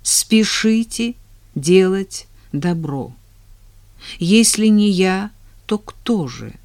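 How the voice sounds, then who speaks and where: A woman leading a guided relaxation and affirmation session in a calm, measured, soothing manner. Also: mid-range voice. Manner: slow, soothing, measured delivery. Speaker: relaxation and vision trainer.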